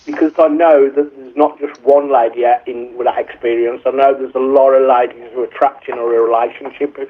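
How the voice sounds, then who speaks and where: A man talks through his songwriting in a loose, rambling way, stopping and restarting as he goes. His voice is at an ordinary pitch and comes over a thin, tinny phone line. The tone is relaxed and conversational. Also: fairly quick. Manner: rambling explanations with restarts and fillers. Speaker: songwriter being interviewed. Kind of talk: casual chat over the phone. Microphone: telephone.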